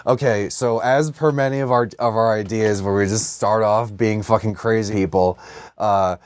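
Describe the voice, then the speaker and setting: A man's voice: on the low side, steady tone, normal speed, smooth, dry recording. A man co-hosting a comedy podcast, telling stories in a rambling, profane, slacker way and often saying dude. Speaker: podcast co-host. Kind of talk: casual comedic podcast banter.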